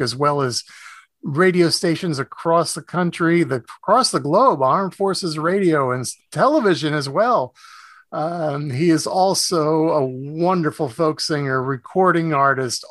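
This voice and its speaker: A man hosting a conversational radio interview, speaking in halting phrases. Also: fairly high pitch, a bit bright, no room sound, clean studio sound. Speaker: radio show host.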